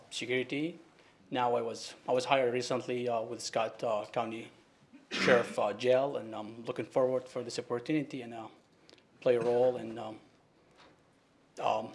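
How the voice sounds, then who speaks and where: A man from Iraq introducing himself as a new jail employee, speaking haltingly with many fillers. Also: medium pitch, vocal fry, a little hiss or hum.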